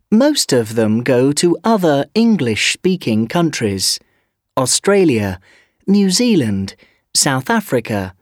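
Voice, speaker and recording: A reader voicing a language textbook recording, speaking clearly and deliberately for learners. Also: mid-range voice, no room sound, clean studio sound. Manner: clear, deliberate, lively intonation. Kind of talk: slow scripted reading for learners.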